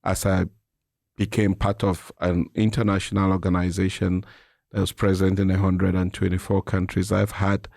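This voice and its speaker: Deep-voiced Cameroonian man, gravelly edge. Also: monotone, moderate pace, a little mellow, no room sound, clean studio sound. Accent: Cameroonian English. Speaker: interview guest, nonprofit president and CEO. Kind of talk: reflective conversational interview answers.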